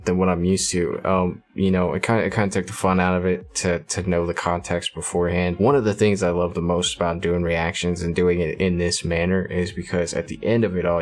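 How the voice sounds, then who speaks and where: Deep-voiced man reacting casually to music. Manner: informal, slangy, thinking aloud. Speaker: music reaction video host. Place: small indoor room.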